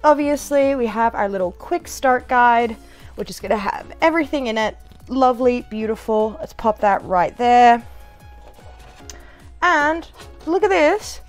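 High-voiced, playful young woman vlogger. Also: animated, unhurried, faint music behind. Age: young adult. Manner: playful, bubbly, sing-song asides and exclamations. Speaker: product reviewer. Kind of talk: casual unboxing chat to camera. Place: living room at home.

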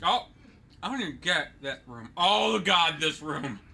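A man co-hosting a game let's play, joking and teasing the player with animated commentary. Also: on the high side, a little slow, creaky, husky. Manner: teasing, animated, exclaims and laughs often.

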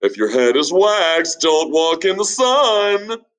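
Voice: mid-range voice, very animated, slow pace, a bit bright, pitched above the performer's own voice. A narrator playing a preachy, know-it-all colonial lad.

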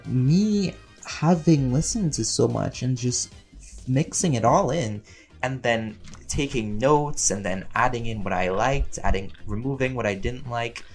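A man with a medium-pitched voice talks through a casual Q&A in a rambling, playful manner. He is expressive and chatty, like a creator addressing fans directly. Music plays underneath his voice. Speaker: voice actor and audio roleplay creator answering fan questions.

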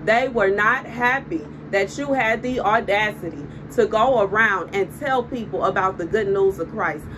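A woman with a Southern country accent speaks to her phone camera from an indoor room. Her delivery is emphatic and repetitive as she gestures, and a clear background hum sits under her voice. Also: medium pitch, normal speed. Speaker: prophetic video host. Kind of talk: casual spoken prophetic message. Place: indoor room before window blinds. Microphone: phone camera on a desk. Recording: clear room noise behind.

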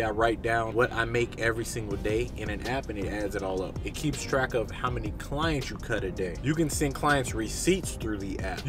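A man in his thirties talks straight to the camera from the driver's seat of a parked car. His voice sits at an ordinary middle pitch. He sounds direct and conversational and repeats his key points, with music playing clearly under him. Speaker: barber giving business advice. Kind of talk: casual advice vlog to camera.

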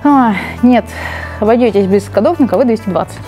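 A woman's voice: a little high, a little fast, mellow, faint music behind. Chatty young woman on a live stream. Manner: chatty, playful, reads out giveaway winners. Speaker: stream co-host. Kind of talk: casual live stream chat.

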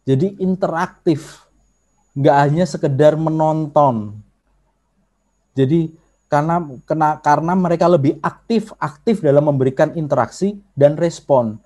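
A man's voice: a little high, measured pace, dark tone. Javanese-accented man teaching an online workshop. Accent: Javanese Indonesian. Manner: explains patiently, addresses audience often. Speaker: workshop instructor. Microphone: video call.

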